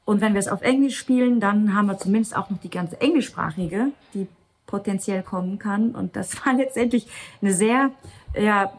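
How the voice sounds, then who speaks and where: A woman's voice: medium pitch, normal speed. A woman in her thirties, a Luxembourgish native speaker, talking reflectively as an actress in an interview, with restarts and light laughs. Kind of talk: candid interview conversation.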